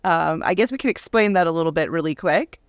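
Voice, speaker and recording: A woman's voice: fairly low pitch, expressive, quick pace, dry recording. A woman author and speaker talking casually in a conversational interview, chatty and giggly, in a dry close-sounding recording.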